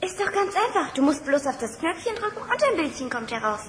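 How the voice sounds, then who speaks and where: A woman's voice: high-pitched, big pitch swings, a little fast, noisy background. A woman playing a teasing, know-it-all teenage girl cousin in a German radio play. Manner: teasing, mocking. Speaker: voice actor. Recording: echoey room with music behind.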